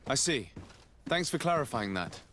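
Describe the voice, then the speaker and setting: An actor playing a polite, resolute young nobleman diplomat in a video game, speaking in a formal, measured way. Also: medium pitch, very animated, pushed and bright, slight background noise. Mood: courteous, composed. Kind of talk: video game cutscene acting.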